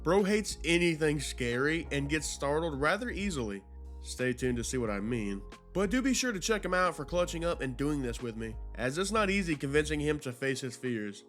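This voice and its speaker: A man's voice: a little high, big pitch swings, normal speed, a bit bright, soft background music. A man hosting a video commentary, narrating in an informal, slangy, conversational way. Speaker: YouTube host.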